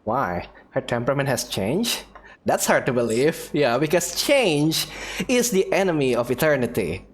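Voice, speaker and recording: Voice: medium pitch, sing-song, moderate pace, a little hiss or hum. A streamer giving casual commentary over a game playthrough, reacting aloud in a playful way.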